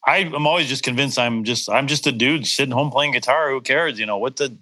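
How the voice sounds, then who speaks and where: A man's voice: medium pitch, brisk, sharp tone. A middle-aged man from Wisconsin, a guitarist with a slight Upper Midwest accent, chatting casually in a rambling, self-deprecating way with many restarts. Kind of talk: casual chat.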